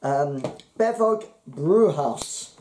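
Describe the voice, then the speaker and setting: A middle-aged man answers in short, dry remarks. His mellow voice rises and falls in a lively way. He sounds like he is in an echoey kitchen with a faint hum behind him. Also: fairly high pitch, extremely slow. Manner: dry, terse replies. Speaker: beer tasting show co-host. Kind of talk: casual chat. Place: home kitchen, indoors. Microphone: fixed camera across the table.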